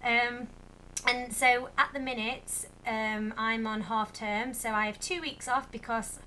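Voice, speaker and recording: A young woman, a little croaky, chatting casually to camera in a rambling vlog update. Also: on the high side, steady tone, measured pace, bright, forceful tone, a little hiss or hum, low-quality recording. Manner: chatty, rambling with many fillers. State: slightly croaky. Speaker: vlogger.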